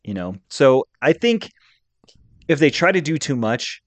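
A man's voice: mid-range voice, sing-song, measured pace, dry recording. Enthusiastic, opinionated man on a podcast. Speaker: podcast host. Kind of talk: casual podcast chat.

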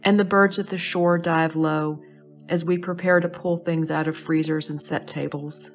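A woman with a slightly low voice leads a guided meditation. She speaks in short, soothing, measured phrases with pauses between them, over soft background music. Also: fairly even intonation. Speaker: astrology advisor leading a guided meditation. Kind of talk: slow guided meditation narration.

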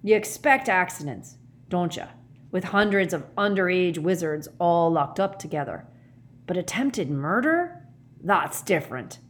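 A woman voicing a huge, shaggy, anxious man with a hoarse growl and slow rustic speech in a storybook. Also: on the low side, animated. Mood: heavy.

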